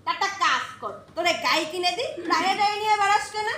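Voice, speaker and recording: Bossy young stage princess, played by a woman, very high, animated, Khulna Bengali accent. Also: quick pace, exaggerated voice. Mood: commanding. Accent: Khulna regional Bengali. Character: bossy, haughty young princess ordering her servant. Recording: echoey stage, some noise.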